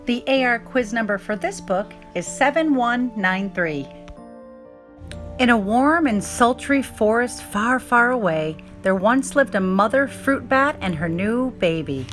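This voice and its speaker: A woman reading a children's story aloud in expressive narration with dramatic, deliberate emphasis. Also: on the high side, faint music behind. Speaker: storybook reader.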